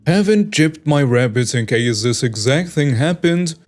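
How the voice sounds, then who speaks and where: Male story narrator, fairly high voice, steady scripted read over faint music. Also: a little slow. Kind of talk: scripted narration of online stories. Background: faint background music.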